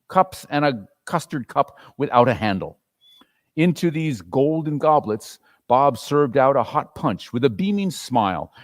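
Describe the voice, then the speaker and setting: A man narrating a classic story theatrically, expressive and with dramatic pauses. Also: medium pitch, no room sound, clean studio sound.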